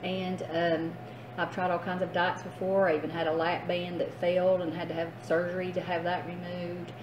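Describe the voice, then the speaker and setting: Even-toned middle-aged woman, echoey room. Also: lowish voice, moderate pace. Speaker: guest. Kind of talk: casual personal account. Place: indoors in a restaurant. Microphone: phone camera a short distance away. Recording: echoey, noisy room recording.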